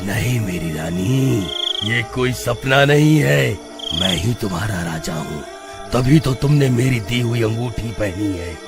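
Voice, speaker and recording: Very deep, slow skeleton ghost. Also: male voice, lively intonation, music in the background. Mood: menacing, possessive. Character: gloating male skeleton ghost living in a well. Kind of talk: Hindi horror cartoon acting.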